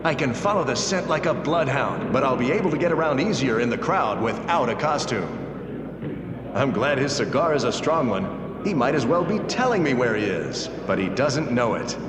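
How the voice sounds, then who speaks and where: Man acting a cocky, animated costumed superhero. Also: medium pitch, normal speed, a crowd in the background, lightly acted. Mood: calculating. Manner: dramatic, thinking aloud. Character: fearless, cocky young male costumed superhero. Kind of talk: dramatized comic-book read-along acting. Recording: loud music under the voice.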